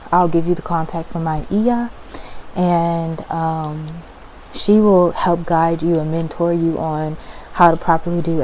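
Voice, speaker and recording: A woman's voice: fairly low pitch, dark tone, a little hiss or hum, rough recording. A woman hosting ASMR as a spiritual cleansing guide, speaking in a soothing, instructive way and often checking in with the listener. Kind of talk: ASMR personal-attention roleplay.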